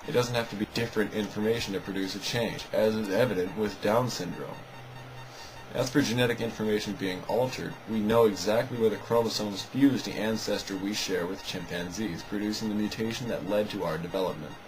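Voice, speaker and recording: Male commentator, lowish pitch, argumentative and dismissive, scripted rebuttal read with clear music underneath. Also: a little fast, poor audio. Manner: sarcastic, dismissive. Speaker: video narrator rebutting another video.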